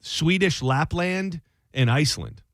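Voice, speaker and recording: A man's voice: on the high side, animated, measured pace, fairly bright tone, no room sound. A male radio host chatting casually in the studio, joking and rambling with his co-host. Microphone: studio microphone.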